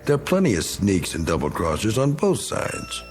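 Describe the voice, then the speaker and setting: A middle-aged American war veteran with a low voice, full of bluster and self-pity. He needles and rants in slangy tough talk, like a bitter, boozy bigot in a radio play. Faint music runs underneath. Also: wildly swooping pitch, normal speed. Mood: defensive. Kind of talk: dramatic radio play acting.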